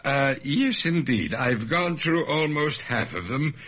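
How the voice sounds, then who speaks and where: A man acting a fussy, forgetful, genial gentleman scholar with a courtly, dithering manner in a radio drama. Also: on the high side, big pitch swings, measured pace, slightly rough, highs cut off, heavily acted. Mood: flustered, genial.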